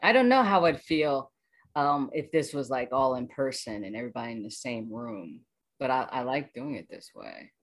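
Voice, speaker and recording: Interview guest: woman, deep register, informal, halting delivery, thinking out loud. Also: animated. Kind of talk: casual interview.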